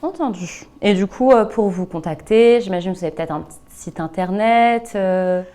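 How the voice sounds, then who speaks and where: A woman's voice: mid-range voice, normal speed, warm tone. A woman hosting an interview in an informal, conversational way, rewording and padding her questions with small tag words. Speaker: interview host.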